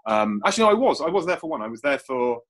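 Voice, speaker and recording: A man's voice: medium pitch, sing-song, racing, a bit bright. A man in casual panel chat as a writer, rambling and often restarting his phrases. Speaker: audio drama writer. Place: remote online call.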